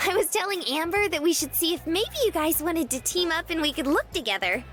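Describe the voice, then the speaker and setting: A woman's voice: extremely high pitch, lively intonation, a little fast, a bit bright. A woman playing a sweet, eager, friendly young woman in a visual novel, earnest and sociable.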